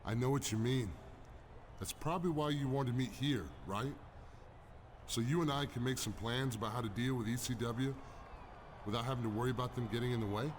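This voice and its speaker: Casting: male actor as big impatient game wrestler, gruffly casual, mid-pitched, noise behind. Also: brisk, close to an everyday voice. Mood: impatient, conspiratorial.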